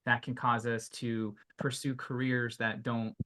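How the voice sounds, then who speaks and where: A man hosting a podcast interview, asking measured questions with frequent hedging fillers. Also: medium pitch, little pitch movement, sharp tone. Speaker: podcast host.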